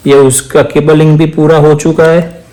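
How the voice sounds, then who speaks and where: A man's voice: fairly high pitch, flat delivery, moderate pace, mellow, reverberant. A man giving a casual hands-on tutorial, explaining step by step as he works and mixing in English phrases. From India. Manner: explains step by step while working. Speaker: moto vlogger giving a setup tutorial.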